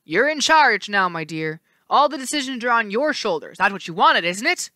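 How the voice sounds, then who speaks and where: A woman's voice: medium pitch, expressive, fairly quick, sharp tone, no echo, clean studio sound. A woman reading aloud, voicing a two-faced male god of doorways who mocks and bickers with himself. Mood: taunting. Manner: teasing, sneering, squabbling with himself.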